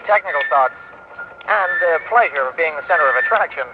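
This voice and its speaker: An actor playing a young astronaut captain giving crisp, clipped reports. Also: male voice, fairly high pitch, expressive, quick pace, lightly acted. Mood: composed. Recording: tinny radio transmission with loud hiss.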